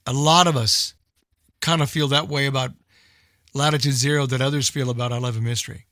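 Older man, bright voice, dry sound. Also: mid-range voice, fairly even intonation, a little fast, no echo. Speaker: radio show host and old-time radio collector. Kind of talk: casual chat about old-time radio.

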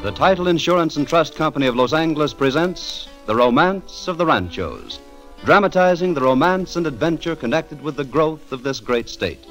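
A man's voice: on the high side, animated, normal speed, a bit bright, low-quality recording. An American man announcing for a 1940s radio program in a formal, grandiose scripted style. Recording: old radio broadcast with music behind.

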